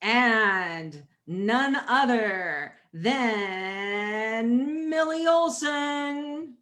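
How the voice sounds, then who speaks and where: A woman's voice: on the high side, lively intonation, very slow, a bit bright. A woman acting as MC of a virtual stage event, announcing performers at the curtain call.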